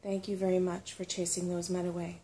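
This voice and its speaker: A woman's voice: fairly low pitch, completely flat delivery, fairly quick, warm tone, slight background noise, lightly acted. A woman voicing a shy, polite and eager human boy in a storybook, speaking earnestly.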